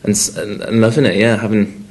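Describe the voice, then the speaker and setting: Young North Wales man, rugby player at a presser, low-ish voice, halting and full of "you know". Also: fairly even intonation, a little mellow. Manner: hesitant, many fillers and restarts. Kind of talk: press conference interview.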